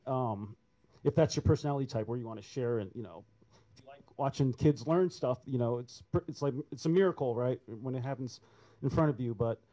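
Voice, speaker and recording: A man's voice: mid-range voice, warm tone, poor audio. A man answering an audience question on an artists' panel, rambling and restarting his sentences. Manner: rambling, self-correcting.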